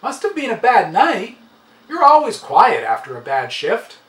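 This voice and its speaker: Man voicing an animated, grumbling aging wife. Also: high voice, unhurried, voice pushed up, heavily acted. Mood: mildly grumbling. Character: aging wife, affectionate, chatty, mildly grumbling. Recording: roomy, slightly distant, faint noise.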